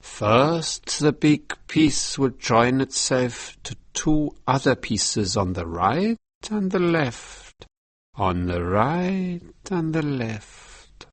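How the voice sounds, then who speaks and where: A man doing a slow hypnotic old magician. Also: mid-range voice, sing-song, dry recording, exaggerated voice. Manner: slow, deliberate, hypnotic phrasing. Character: calm, mysterious adult male magician-teacher. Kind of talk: audiobook reading.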